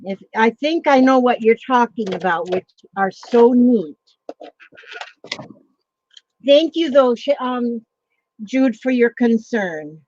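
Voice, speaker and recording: A woman chatting casually as a livestream host, drifting from point to point and pausing on plenty of ums. She talks at an unhurried, drawn-out pace. The sound is dull and lo-fi, with a bright-ish, slightly raised pitch. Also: animated. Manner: rambling, greets viewers by name, many ums. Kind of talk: casual chat.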